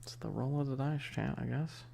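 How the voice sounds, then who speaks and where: A livestream host chatting casually and informally in a soft, close whispery ASMR delivery into a binaural mic, rambling with teasing asides to chat. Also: mid-range voice, fairly quick, creaky, noisy background. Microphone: close binaural microphone. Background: tapping and keyboard clicking.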